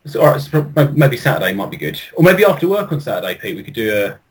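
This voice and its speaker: A man's voice: medium pitch, big pitch swings, fast. Hesitant British man with varied intonation. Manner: hesitant, stammering, repeating himself. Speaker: podcast co-host. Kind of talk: casual chat.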